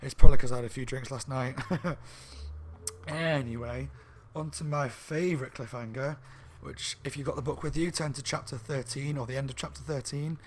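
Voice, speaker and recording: A slightly hoarse man reviewing a book in a rambling, casual chat at home, with lots of ums and restarts. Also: mid-range voice, fairly even intonation, moderate pace, slight background noise. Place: room at home by a window on a main street.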